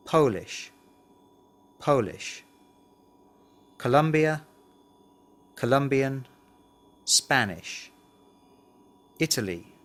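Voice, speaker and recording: Male language-course reader, slow and careful delivery, lively intonation. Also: medium pitch. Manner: deliberate, carefully enunciated model sentences. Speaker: language lesson presenter reading words and answers. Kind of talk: scripted language drill, read aloud.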